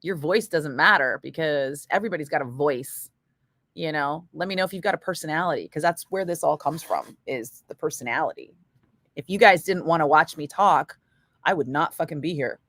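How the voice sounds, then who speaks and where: A middle-aged woman radio DJ chatting casually with viewers between breaks, profane and quick to laugh. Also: sits low, fairly bright tone, dry recording, clean studio sound. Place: radio studio.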